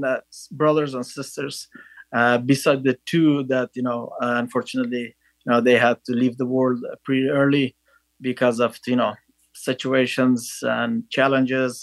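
A man, a podcast guest telling his story in a halting way, with many fillers. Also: mid-range voice, no room sound, rough recording. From Afghanistan. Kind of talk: casual interview storytelling.

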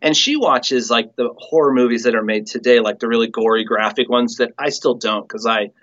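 A man's voice: medium pitch, steady tone, brisk, a bit bright, lo-fi sound. A man chatting casually and reminiscing as an interview guest. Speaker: guest, audio play writer and director.

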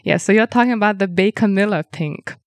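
A woman whose first language is Lithuanian, answering interview questions as a researcher in a measured, explanatory way with frequent ums. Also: mid-range voice, dry recording. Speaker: researcher guest.

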